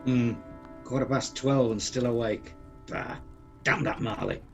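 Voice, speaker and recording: A man's voice: mid-range voice, steady tone, measured pace, vocal fry. A man acting a grumpy, sceptical old miser in a radio play, with a blustering, indignant, exclamatory delivery. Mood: irritable. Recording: dull lo-fi sound, music behind.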